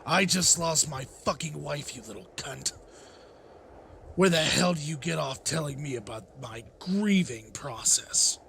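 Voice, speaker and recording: A man's voice: on the high side, lively intonation, unhurried, gravelly, bright, forceful tone, a little hiss or hum, full character voice. A man voicing a distraught, weary survivor and father in a motion comic, with strained emotion. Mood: bitter, angry. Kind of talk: comic book voice acting.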